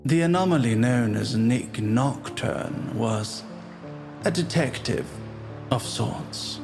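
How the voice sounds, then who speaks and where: A man narrating a scripted suspense story in a measured, ominous storytelling manner. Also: medium pitch, lively intonation, vocal fry. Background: music.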